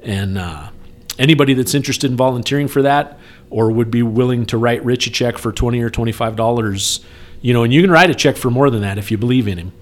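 A man's voice: medium pitch, fast talker. A man talking casually on a podcast in an earnest, persuasive, conversational way, repeating points for emphasis. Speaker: speaker appealing for campaign support.

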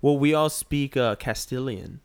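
A man's voice: mid-range voice, unhurried, smooth, no echo. A man from Peru co-hosting a casual chat, enthusiastic and jokey.

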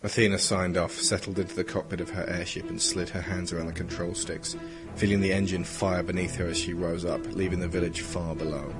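A man's voice: low-pitched, flat delivery, a little fast, few pauses. A man delivering scripted narration as the narrator of an audio drama. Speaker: narrator and creator. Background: music.

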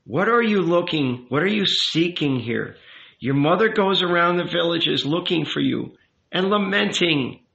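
A man's voice: a little high, lively intonation, a little slow, gravelly, pushed and bright, voice pushed up, exaggerated voice. A man voicing an old rabbi in an audiobook, tender and earnest, coaxing and emphatic as he counsels. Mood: anguished reproach. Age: older. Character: old rabbi uncle, tender, pleading, gently commanding confessor. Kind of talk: dramatic audiobook character reading. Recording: roomy, echoing, slightly dull sound.

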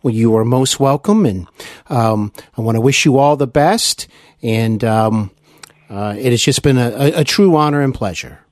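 A man's voice: medium pitch, moderate pace, no room sound, clean studio sound. A man hosting an interview podcast in an earnest, courteous and effusive manner. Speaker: podcast host.

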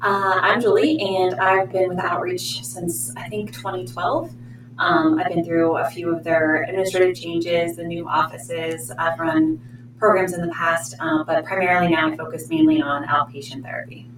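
Woman therapist, lowish voice, earnest; roomy distant mic with a steady hum. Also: moderate pace. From Pennsylvania, USA. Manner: earnest, hesitant with fillers. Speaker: outpatient therapist. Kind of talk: casual interview answers. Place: library meeting room.

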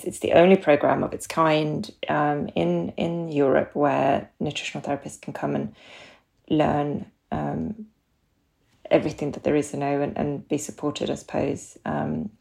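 Swedish-accented woman with a low, gravelly voice. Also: a little mellow. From Sweden. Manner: hesitant, many ums and restarts. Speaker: guest, nutritional therapist. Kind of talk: casual podcast interview.

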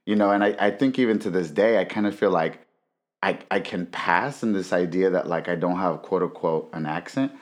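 Deep-voiced man, playful podcast co-host. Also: a little fast. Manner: playful, animated teasing. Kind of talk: casual chat. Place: Inglewood, California.

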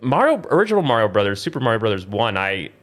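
A man hosting a casual gaming chat with friends, excitable and often exclaiming at the game. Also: fairly low pitch, fast talker. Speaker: podcast host. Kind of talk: casual chat while playing video games with friends.

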